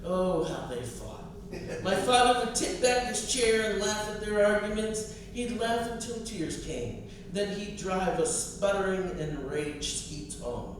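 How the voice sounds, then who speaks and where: An American woman in middle age reads her poetry and personal prose with measured, expressive care. The recording is very reverberant, with the microphone at a distance and clear noise behind her. Her phrasing is deliberate and literary. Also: mid-range voice. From US East Coast. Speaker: poet and college professor reading own work. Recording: distant mic, echoey room, clear noise.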